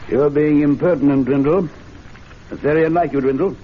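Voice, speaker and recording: A man playing a pompous, fussy gentleman club member in a radio drama, hesitant and then emphatic. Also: on the high side, fairly quick, close to an everyday voice. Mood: indignant. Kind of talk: 1970s radio drama acting. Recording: dull, noisy old radio recording.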